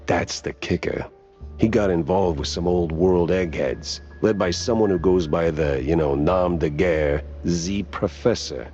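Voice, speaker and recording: Very deep-voiced, wry noir detective. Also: male voice, measured pace, warm tone, highs cut off, soft background music. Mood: wry, uneasy. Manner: wry, laconic, hesitant drawl. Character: world-weary wisecracking male noir private detective, cartoon mouse. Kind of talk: 1930s hardboiled detective game acting.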